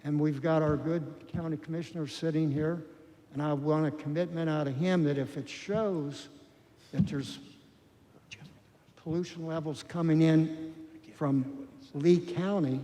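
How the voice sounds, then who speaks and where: A man's voice: on the high side, steady tone, measured pace, long pauses, warm tone. A man on a city council, raising his concerns in an earnest, insistent way. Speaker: council member. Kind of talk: council meeting discussion. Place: council chamber. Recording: long reverb of a council chamber.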